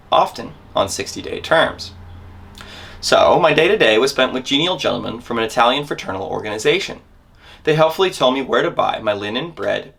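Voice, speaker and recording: A man's voice: medium pitch, vocal fry, fairly bright tone. Man performing a dramatic first-person monologue. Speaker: dramatic reader of a memoir.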